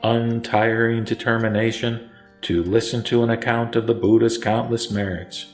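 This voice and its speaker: A man narrating a Buddhist scripture as a scripted recitation, in a solemn, formal and measured manner. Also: medium pitch, level tone. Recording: echoey voice over faint music. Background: faint music.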